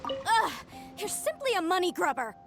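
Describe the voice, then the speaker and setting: A woman's voice: very high voice, big pitch swings, moderate pace, music in the background, heavily acted. A woman playing an earnest, principled young history researcher in a video game, speaking emphatically. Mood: indignant.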